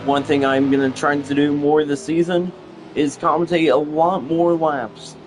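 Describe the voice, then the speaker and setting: Young man calling a racing game, slow and halting. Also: fairly high pitch, fairly even intonation. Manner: halting, repeats phrases, restarts. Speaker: race commentator. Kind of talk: play-by-play racing commentary. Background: music and engine noise.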